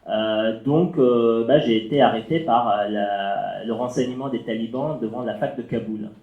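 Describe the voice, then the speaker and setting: Halting Afghan-born man in an echoey hall. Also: medium pitch. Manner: halting, many fillers and restarts, non-native French phrasing. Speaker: journalist speaking on a panel. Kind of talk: personal testimony at a round table. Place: library auditorium. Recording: echoey hall, dull lo-fi sound.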